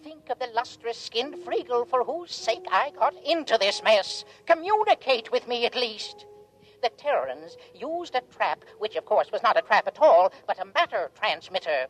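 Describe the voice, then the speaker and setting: A scheming alien of vintage radio drama. The delivery is formal, self-pitying and theatrical, with pitch that sweeps widely up and down. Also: female voice, very animated, moderate pace, a little hiss or hum, low-quality recording. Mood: pleading, indignant. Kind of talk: 1950s radio acting, scripted narration.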